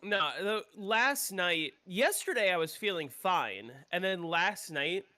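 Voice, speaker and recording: Streamer, male, casual and opinionated, swears freely, higher voice, sounds a bit congested. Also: animated, takes it slowly, bright, forceful tone. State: congested, coming down with a cold. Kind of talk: casual gameplay chat.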